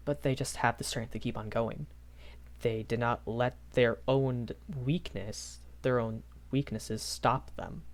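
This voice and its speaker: A performer voicing a shy, earnest young magic academy professor in a rambling audio roleplay, hesitant and often self-correcting. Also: medium pitch. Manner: hesitant, self-correcting, apologetic, nervous chuckles. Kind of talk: improvised character audio roleplay, rambling lecture.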